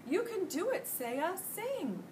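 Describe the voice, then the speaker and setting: An American woman voicing a small, excitable, eager male pet hamster, squeaky and urgent. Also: takes it slowly, heavily acted. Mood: urgent, encouraging. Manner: urgent, exclaiming. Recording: distant mic, loud room noise.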